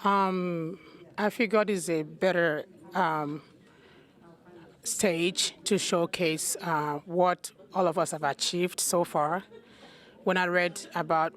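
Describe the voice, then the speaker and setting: A woman from Nigeria with a Nigerian accent, answering questions as an interview guest. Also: fairly low pitch. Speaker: interview guest, healthcare provider.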